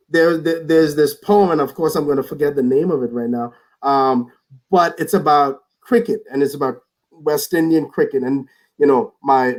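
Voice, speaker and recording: A man speaking as a guest in a reflective conversation over a video call, earnest, often repeating words as he starts a thought. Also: on the high side.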